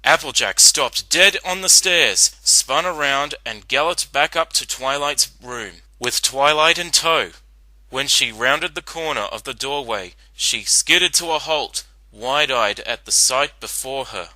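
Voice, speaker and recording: A man's voice: medium pitch, a little slow, bright, forceful tone, dry recording, low-quality recording. A man narrating a story as a scripted dramatic reading.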